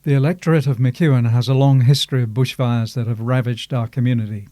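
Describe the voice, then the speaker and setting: A man's voice: medium pitch, steady tone, fast talker, dark tone, dry recording, studio quality. An Australian man reading out a formal scripted statement. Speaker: reader of quoted statements.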